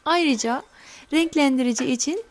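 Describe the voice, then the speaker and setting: A high-pitched woman gives a recipe voice-over, talking viewers through each step slowly and carefully. She sounds middle-aged and friendly, calling her audience friends as she explains. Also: a little hiss or hum. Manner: slow, careful instructions. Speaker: home cooking channel host. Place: home kitchen counter.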